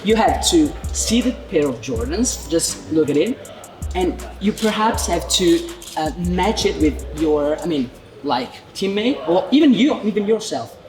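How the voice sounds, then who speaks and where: A man conducting an informal sports interview in halting, repetitive English. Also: high voice, expressive, low-quality recording. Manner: halting, repetitive phrasing in non-native English. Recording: music playing behind the voice. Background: background music.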